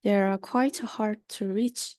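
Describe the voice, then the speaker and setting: Mellow young woman reading a rhyming story slowly. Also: medium pitch, steady tone, dry recording. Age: young adult. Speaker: student reader. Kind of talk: reading a rhyming children's book aloud.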